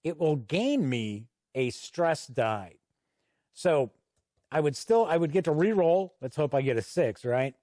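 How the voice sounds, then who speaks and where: A man's voice: a little high, lively intonation, a little slow, mellow, no room sound, studio quality. A middle-aged man giving a casual, conversational review commentary that explains game rules.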